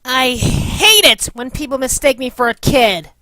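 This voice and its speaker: A woman's voice: sits high, lively intonation, unhurried, bright, forceful tone, dry recording, exaggerated voice. A woman voicing a petite, touchy teenage girl in a cartoon parody, emphatic and indignant. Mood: indignant, prim. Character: petite, touchy teenage girl who resents seeming childish. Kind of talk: scripted cartoon parody dub.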